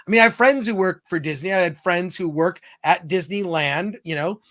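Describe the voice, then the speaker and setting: A man with a slightly high voice chats casually as host of a film discussion. He is conversational and wanders into asides, cutting off his own sentences to start again. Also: moderate pace, a bit bright.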